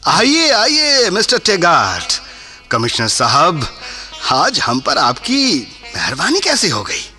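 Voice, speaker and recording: Radio play: a suave, sarcastic official. Slow, mock-courteous, hugely animated melody. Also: male voice, a little high, exaggerated voice. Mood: mock politeness. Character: suave, mocking Indian city official, falsely hospitable. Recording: prominent music behind the voice.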